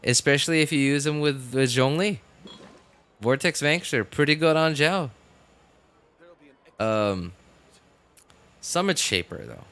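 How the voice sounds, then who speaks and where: A man hosting a game livestream, rambling casually and excitably, exclaiming and musing aloud. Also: fairly high pitch, unhurried, long pauses, clear, resonant tone. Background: game music.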